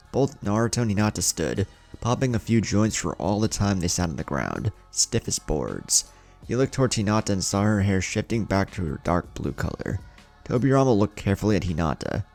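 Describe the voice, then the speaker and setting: A man's voice: low-pitched, darkish tone. A man narrating fan fiction in audiobook style as a channel host.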